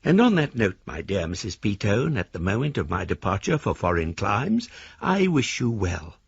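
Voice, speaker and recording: A courtly older gentleman reads his letters in a radio drama with florid pomposity. His voice is lowish and very husky, swooping expressively through ornate phrases. The recording is slightly dull. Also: sing-song, moderate pace, no room sound, full character voice. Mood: courteous, effusive.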